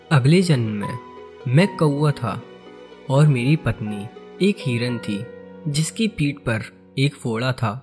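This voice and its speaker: A man playing a calm ascetic sage in a cartoon story, with a husky voice and measured manner. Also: mid-range voice. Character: calm ascetic sage explaining past-life karma. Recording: music behind the voice.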